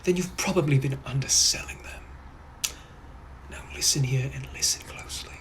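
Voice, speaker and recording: A man playing a menacing, possessive gentleman from the 1800s, speaking in a hushed, breathy, put-on voice. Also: medium pitch, natural voice. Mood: threatening. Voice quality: hushed breathy whisper, drawn-out purring delivery. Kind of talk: POV comedy skit.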